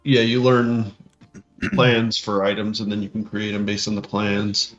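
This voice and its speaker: Male podcast co-host, low register, casual and halting rules talk, muffled recording with music underneath. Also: level tone. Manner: halting, thinking aloud while reading. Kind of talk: casual discussion of tabletop game rules. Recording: dull lo-fi audio, music behind.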